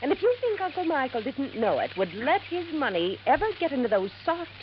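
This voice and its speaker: A haughty, sharp-tongued schoolteacher in vintage radio drama. Her voice swings widely with disapproval, on a dull recording with faint noise. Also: high-pitched, sing-song, fairly quick. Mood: scornful. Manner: haughty, scornful. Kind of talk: 1950s radio drama acting.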